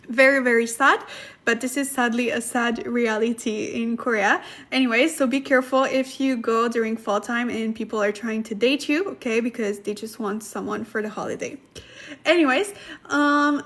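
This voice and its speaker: High-voiced woman hosting a chatty live lesson. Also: fairly bright tone. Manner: chatty, laughs often, many fillers. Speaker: Korean language teacher, livestream host. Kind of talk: casual livestream lesson, reading chat comments.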